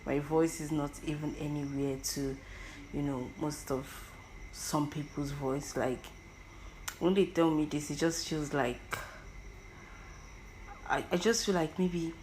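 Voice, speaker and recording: A woman's voice: low-pitched, fairly even intonation, takes it slowly, pauses often, a little mellow, slight background noise, poor audio. A young Nigerian woman with an Igbo accent, whose first language is Igbo. She hosts a podcast and talks through her thoughts in a personal monologue, often stammering and repeating words. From Igbo land, Nigeria. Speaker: podcast host.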